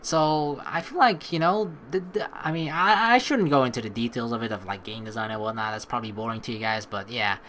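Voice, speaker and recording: A man's voice: medium pitch, fairly quick, few pauses, slight background noise. A young man giving chatty let's play commentary, reading game text aloud and reacting to it. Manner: animated, chatty, reacting as he reads.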